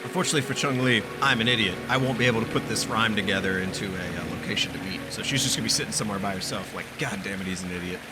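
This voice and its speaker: A man's voice: fairly low pitch, racing, few pauses, heavy background noise. A man doing playful let's-play commentary, joking and self-deprecating. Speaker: gaming streamer. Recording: game music behind the voice.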